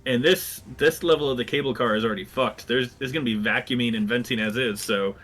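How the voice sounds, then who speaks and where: A man's voice: mid-range voice, a little fast, a bit bright, faint music behind, poor audio. A man playing in a live tabletop roleplaying game, talking casually about his character's moves.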